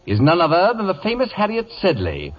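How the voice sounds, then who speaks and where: A muffled old radio broadcast of an imperious, middle-aged wit. His slightly husky voice swoops theatrically through pompous, mock-courtly sarcasm and gleeful scheming. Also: high voice, huge pitch swings, fairly quick, heavily acted. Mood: smug menace. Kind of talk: 1940s radio comedy acting.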